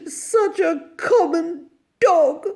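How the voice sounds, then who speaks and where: A woman's voice: very high voice, drawn-out words, a little mellow, voice pushed up, heavily acted. A woman voicing a haughty, fussy, melodramatic lady in a storybook reading, with indignant, theatrical delivery. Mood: distraught, resigned. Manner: indignant, imperious, wailing theatrics.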